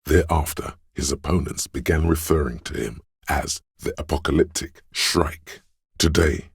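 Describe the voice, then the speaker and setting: A man voicing a learned inner voice in a video game, reciting obscure facts in a measured, lecturing, gravelly way. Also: a bass voice, dry recording, studio quality, full character voice. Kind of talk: video game scripted narration.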